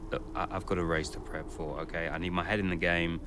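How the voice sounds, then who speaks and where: An actor playing a defensive, evasive racing driver under pressure in a naturalistic game cutscene. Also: male voice, low-pitched, level tone, fast talker, noisy background, lightly acted. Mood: reluctant.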